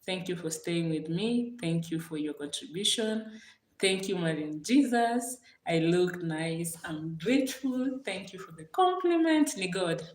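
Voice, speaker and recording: Kenyan lady on a livestream, lowish voice, echoey room, keeps saying thank you thank you. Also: expressive, unhurried. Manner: repeats her thanks over and over; warm and gracious toward her viewers. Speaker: livestream host. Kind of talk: casual livestream chat with viewers.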